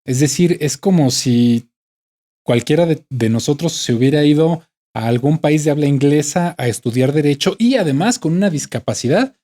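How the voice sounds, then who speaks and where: Mexican guy hosting a law podcast, soft mellow voice, easygoing questions. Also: fairly high pitch, lively intonation, normal speed. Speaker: podcast host. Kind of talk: interview questions, casual.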